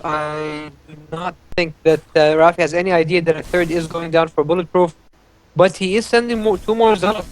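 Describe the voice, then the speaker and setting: A man co-casting a live strategy game, giving measured play-by-play with frequent fillers. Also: fairly high pitch, slight background noise, poor audio. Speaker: esports co-caster.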